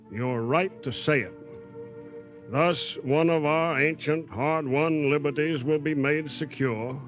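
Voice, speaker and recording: A man delivering solemn, earnest oratory in a deliberate 1940s radio style. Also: a little high, a bit bright. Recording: muffled old radio broadcast with music.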